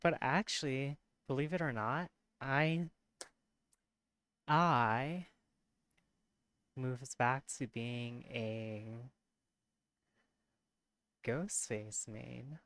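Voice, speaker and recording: A live streamer with a tired, hoarse voice, chatting casually with viewers, giggling often and correcting themself mid-sentence. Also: mid-range voice, drawn-out words, pauses often, a bit bright. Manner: giggly, laughs often between remarks; rambling, self-correcting. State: voice worn and hoarse from long streaming.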